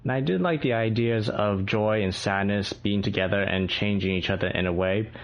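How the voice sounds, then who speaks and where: A man's voice: on the low side, moderate pace. A man leaving a casual listener message with his opinions. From Atlanta, Georgia, United States. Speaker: listener calling in. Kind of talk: voicemail film opinion. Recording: dull lo-fi call recording.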